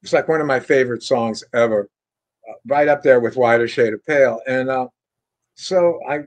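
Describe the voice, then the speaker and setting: An older man from France, a guitarist guest, telling stories in a relaxed, rambling way with many fillers and restarts, speaking over a video call from a room lined with bookshelves. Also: mid-range voice, moderate pace. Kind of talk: casual interview storytelling.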